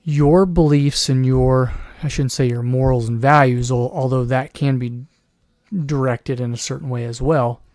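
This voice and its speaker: A man talking alone on his podcast with a hoarse, worn voice, sometimes clearing his throat. He rambles and restarts his sentences, now and then slipping into a mocking put-on voice. The sound is dry and close, with no room around it. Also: medium pitch, fairly even intonation, a little slow, darkish tone, no echo. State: losing his voice. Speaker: podcast host. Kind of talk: solo commentary podcast.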